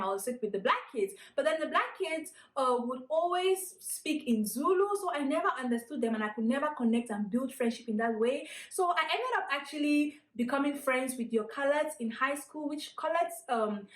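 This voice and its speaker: Higher-voiced reflective woman from Cameroon, vlog guest, roomy echo. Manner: earnest, reflective, hedging with "honestly" and "like". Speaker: guest vlogger. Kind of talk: casual vlog talk.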